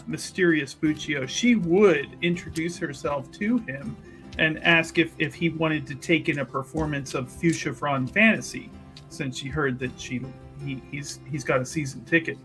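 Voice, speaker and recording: A man with a fairly high voice talks through a tabletop game in an animated, jokey way. He is chatty and improvises casually as a player. Music plays faintly behind him. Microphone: streaming microphone on a remote call.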